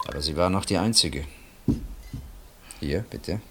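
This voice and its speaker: A male actor playing a middle-aged husband in a radio play, wryly reflective and brooding, speaking with hesitant pauses and deliberate phrasing. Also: low-pitched, a little hiss or hum. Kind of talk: radio play acting, intimate dialogue.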